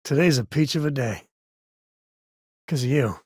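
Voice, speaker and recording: A man's voice: mid-range voice, expressive, measured pace, a little mellow, breathy edge, no room sound, studio quality. An actor playing a wry, good-hearted space explorer and father in a video game, speaking in a casual, folksy way. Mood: tender. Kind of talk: video game character dialogue.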